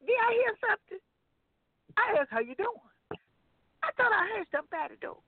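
A woman's voice: extremely high pitch, fairly quick, dry recording. A woman co-hosting a gospel radio talk show, bantering with sassy teasing and then leading a prayer. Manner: sassy, animated, playful teasing. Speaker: co-host and evangelist. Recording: dry tinny phone-line audio.

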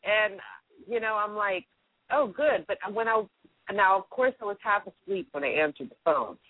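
A woman with a deep voice, sick with a cold, chatting casually and giggling as co-host. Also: lively intonation, moderate pace, dry recording. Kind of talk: casual chat. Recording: tinny phone line.

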